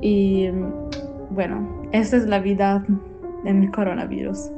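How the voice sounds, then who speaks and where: A woman's voice: medium pitch, level tone, measured pace, muffled recording. A young Italian woman with an Italian accent tells a personal account, speaking as a non-native with slightly foreign phrasing. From Italy. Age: young adult. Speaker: interviewee telling personal experience. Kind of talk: personal account, narrated monologue. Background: music.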